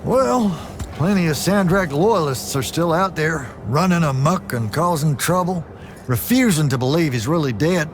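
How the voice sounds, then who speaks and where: An actor playing a salty, folksy veteran soldier in a video game cutscene, wry and gruffly fond in manner. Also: male voice, on the high side, animated, moderate pace, a little hiss or hum, close to an everyday voice. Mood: matter-of-fact.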